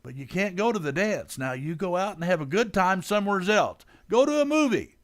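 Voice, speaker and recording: A man's voice: sits high, very animated, fairly quick. A man playing a scheming, hapless, middle-aged working-class father in old-time radio comedy, blustering and easily flustered. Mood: conniving, reassuring. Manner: flustered, stammering, exclamatory. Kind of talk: 1940s radio comedy acting.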